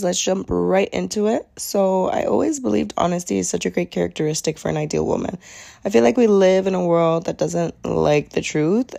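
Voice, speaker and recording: Low, gravelly young woman podcaster. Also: dark tone. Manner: sincere, chatty, with frequent fillers. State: slightly raspy voice. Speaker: podcast host. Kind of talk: solo podcast monologue.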